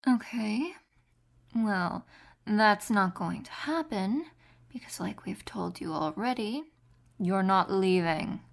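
Woman doing a clingy, possessive captor girl in an audio roleplay, husky whisper with fry, slow and cooing. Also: medium pitch, expressive. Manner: soft whispering, cooing pet names. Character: two doting, possessive young women captors.

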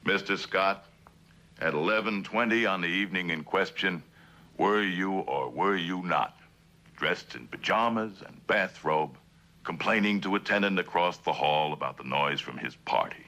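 A shrewd prosecutor from a 1960s television drama. His voice sits very low, with a bright, forceful edge. He speaks formally and presses each point with skeptical precision. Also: normal speed. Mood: probing. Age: middle-aged. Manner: formal, probing, pointed questioning. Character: shrewd, skeptical middle-aged male district attorney. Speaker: actor as district attorney.

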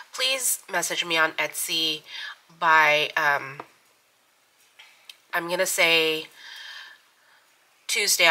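A woman's voice: fairly low pitch, pushed and bright. A middle-aged woman with sniffly allergies, chatting casually as the host of a planner channel, rambling with lots of ums and giggles. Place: home craft desk. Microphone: overhead camera on desk, hands only.

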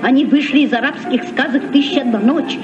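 An actor playing an eager, excitable young captain in a Soviet children's radio play. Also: male voice, very high-pitched, fast. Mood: curious. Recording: dull old radio recording.

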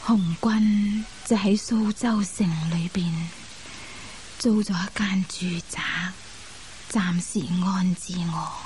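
An actress playing a reflective woman who recounts her married past in a measured radio drama style. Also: medium pitch, fairly even intonation, mellow, lightly acted. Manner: unhurried, measured recollection. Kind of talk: Cantonese radio drama storytelling.

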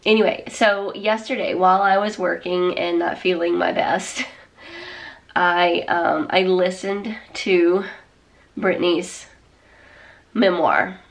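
A woman's voice: fairly low pitch, fairly even intonation, takes it slowly, creaky. A woman, chatting casually to camera in a small room at home, congested and rambling with lots of fillers and restarts. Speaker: vlogger. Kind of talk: casual chat. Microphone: camera or phone at arm's length.

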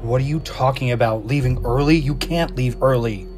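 A man's voice: medium pitch, moderate pace, over music, close to an everyday voice. A man playing a nervous, disgruntled employee in an audio drama, flustered and stammering. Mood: panicked, protesting. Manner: stammering, protesting.

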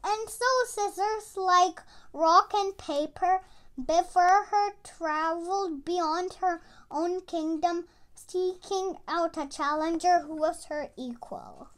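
Storybook reader, very high-pitched and bright, slow measured pace, dramatic and expressive. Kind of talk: animated storybook read-aloud.

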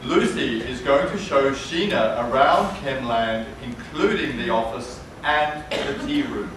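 A man's voice: medium pitch, measured pace. A man reading scripted narration for a stage play. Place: theatre with audience. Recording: echoey theatre from far off, noisy.

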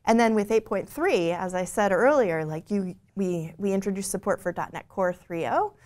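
A woman in her forties explaining software features on a studio stage, fluent but full of "you know" fillers and small restarts. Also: medium pitch, moderate pace. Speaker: product lead presenting the software. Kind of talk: scripted-feeling tech keynote conversation. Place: bright studio stage with screen behind.

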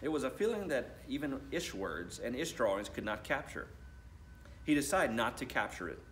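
An American man in his thirties reads to children as a school principal. His mid-range voice moves up and down in a lively way, with deliberate phrasing. There is faint noise in the room around him. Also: mid-range voice. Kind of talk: storybook read-aloud. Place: school library, indoors.

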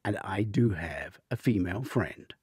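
A man's voice: on the low side, expressive, a little slow, a little mellow, breathy edge, no echo, studio quality, full character voice. A man voicing a stubborn, earnest tech colleague in an audiobook, defensive and matter-of-fact.

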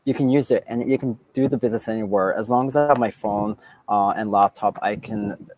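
A man in his forties from Canada telling his story on a video call, relaxed and full of fillers, outdoors with earbuds. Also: lowish voice, fairly quick, rough recording. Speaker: guest speaker. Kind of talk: casual personal story. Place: outdoors by a beach. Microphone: earbud headset on video call.

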